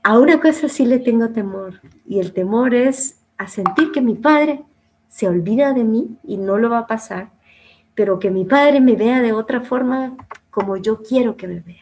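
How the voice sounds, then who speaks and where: A woman's voice: medium pitch, a little hiss or hum, poor audio. A middle-aged woman, telling her life story as an interview guest in a reflective, conversational way. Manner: reflective, with soft laughs and some trailing quiet phrases. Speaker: interview guest, former producer and journalist.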